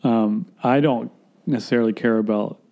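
A man from Florida, a filmmaker guest, talking in a rambling, halting, self-deprecating way in casual conversation. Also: mid-range voice, steady tone, dark tone.

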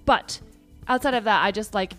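A hoarse woman hosts a podcast, talking in a loose, upbeat way full of fillers. Her voice is worn and raspy after travel, and her pitch sits in an ordinary middle range. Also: moderate pace, fairly bright tone, soft background music. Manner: chatty and upbeat, many fillers. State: hoarse, partly lost voice. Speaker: podcast host. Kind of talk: casual podcast chat.

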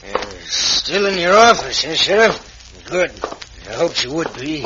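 A man's voice: a little high, very animated, measured pace. A man playing a scheming, brash gambler villain in an old Western radio serial, pushy and full of folksy frontier idiom. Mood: sly, insistent. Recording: muffled, slightly noisy old radio.

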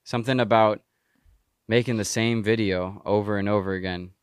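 A man's voice: lowish voice, fairly even intonation, normal speed, clear, resonant tone, no room sound, clean studio sound. A man hosting a casual podcast, asking easygoing, curious questions. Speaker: podcast host.

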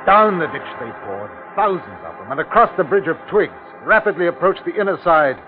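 An actor playing an anxious, earnest commissioner who narrates a 1950s radio drama in a grave, measured, theatrical way. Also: male voice, fairly high pitch, big pitch swings. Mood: grave, ominous. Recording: tinny 1950s radio recording with music.

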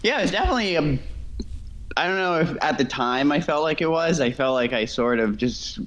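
A man's voice: fairly high pitch, animated, fairly quick, fairly bright tone. A young man from Massachusetts, a guest in a casual interview over a remote call, talking in a hesitant way with many fillers and restarts. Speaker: guest, ultra runner.

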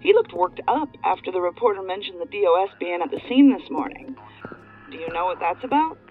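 A woman's voice: lowish voice, very animated, a little fast, faint music behind. A woman playing a no-nonsense federal agent in an audio drama, matter-of-fact, heard through a phone or radio filter. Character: crisp, no-nonsense female federal agent reporting in. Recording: narrow-band phone or radio line.

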